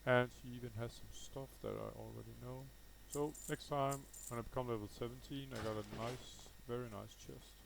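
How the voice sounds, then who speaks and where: A man's voice: medium pitch, steady tone, moderate pace, creaky, few pauses, slight background noise, rough recording. A man with a Danish accent, a native Danish speaker, gives casual unscripted gameplay commentary, thinking aloud hesitantly and correcting himself as he goes. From Denmark. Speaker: gaming video host.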